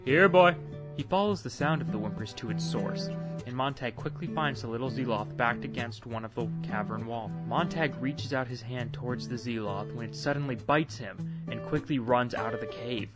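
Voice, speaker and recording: A man's voice: mid-range voice, moderate pace, over loud music. A man presenting and narrating his own amateur audio drama, in storybook style with informal announcements.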